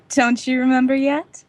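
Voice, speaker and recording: An actress playing a coy, poised young nurse in an old-time radio drama, with a softly teasing manner. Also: female voice, high voice, no room sound.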